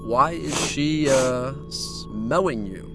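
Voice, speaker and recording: A man's voice: medium pitch, slow pace, gravelly, over loud music. A man playing a frightened, naive young man in a horror audio drama, hesitant and anxious. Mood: uneasy. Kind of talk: horror audio drama acting with first-person narration.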